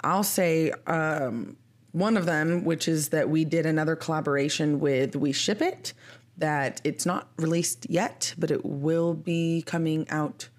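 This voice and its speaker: Deep-voiced woman co-host, thirties, nasal from a cold, casual and self-mocking. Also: fairly even intonation, unhurried. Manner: chatty, laughs at her own complaints. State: congested from a cold, sniffly. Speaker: podcast co-host. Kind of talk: casual chat.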